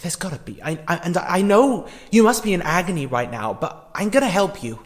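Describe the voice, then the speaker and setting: A man acting a compassionate, hesitant character in a fan audio drama, earnest and halting in his delivery. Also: sits high, expressive. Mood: startled, caring. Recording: long reverb, music underneath.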